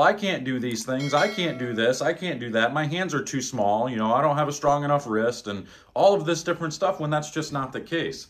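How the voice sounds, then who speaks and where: A man's voice: on the high side, a bit bright. A man in his thirties coaching bowling technique at home, talking bluntly and emphatically as he demonstrates. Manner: blunt, emphatic, exasperated at bad advice. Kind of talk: instructional vlog demonstration. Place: living room at home, indoors. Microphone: camera on tripod, close then several meters away. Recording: echoey room.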